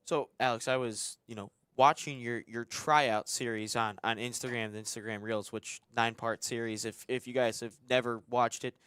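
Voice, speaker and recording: A man's voice: medium pitch, fairly even intonation, moderate pace, hardly any pauses, fairly bright tone, clear, resonant tone. A man co-hosting a soccer podcast, asking a question in a chatty, conversational way. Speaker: podcast co-host. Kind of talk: podcast interview.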